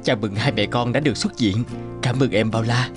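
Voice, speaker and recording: A man's voice: medium pitch, fairly quick, close to an everyday voice. A man voicing a devoted young husband and new father in a cartoon, heartfelt and emotive. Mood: grateful, tender. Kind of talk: dubbed cartoon dialogue. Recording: music behind the voice. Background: music.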